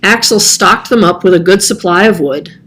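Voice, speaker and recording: A woman's voice: medium pitch, moderate pace, fairly bright tone. A woman reading a children's story aloud as scripted narration. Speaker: storybook reader.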